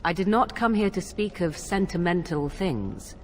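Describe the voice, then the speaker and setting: An actress playing a sly, calm witch in a video game, speaking in a measured, formal way. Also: lowish voice, faint music behind. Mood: calm, secretive. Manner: measured, deliberate, formal phrasing. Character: sly, calm witch woman proposing a dark bargain. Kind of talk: dramatic video game acting.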